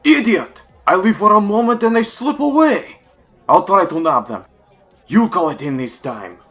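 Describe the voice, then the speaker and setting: A man's voice: sits high, big pitch swings, moderate pace, a bit bright, soft background music. A man playing an irritable KGB agent in a comic dub, curt and barking. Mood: angry, urgent.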